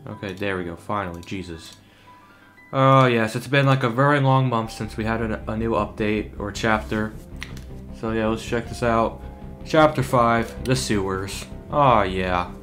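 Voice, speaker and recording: A man's voice: fairly low pitch, measured pace, fairly bright tone, soft background music. Casual, sweary young male gamer. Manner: informal, sweary, exclamatory. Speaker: gaming YouTuber. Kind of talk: casual game reaction commentary.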